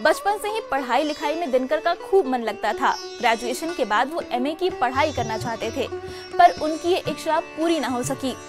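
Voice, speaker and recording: High-pitched woman narrator over music. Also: lively intonation, moderate pace. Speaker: documentary narrator. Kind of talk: scripted narration. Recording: clear sitar music under narration.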